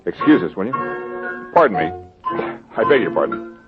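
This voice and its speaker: An actor playing a confident, quick-witted troubleshooter in an old-time radio drama, with a brisk, wry manner. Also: male voice, low-pitched, big pitch swings, loud music behind the voice. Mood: wary. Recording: dull old radio recording.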